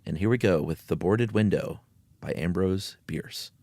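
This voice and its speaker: A man's voice: deep voice, measured pace, loud music behind the voice. A man hosting a podcast, moving between casual chat and dramatic reading of a spooky story. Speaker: podcast host.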